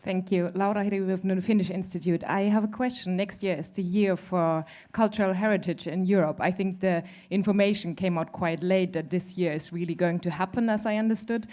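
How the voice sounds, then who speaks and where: Woman in the audience, polite winding question, lowish mellow voice. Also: fairly even intonation, fairly quick, few pauses. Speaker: audience member asking a question. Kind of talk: question from the floor.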